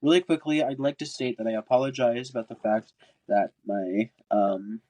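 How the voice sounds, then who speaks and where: A man's voice: mid-range voice, fairly even intonation, normal speed, warm tone. Congested man reading a story aloud. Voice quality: congested, stuffy nose. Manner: stumbles over words and rereads lines. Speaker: podcast host reading a book aloud. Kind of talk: amateur audiobook narration.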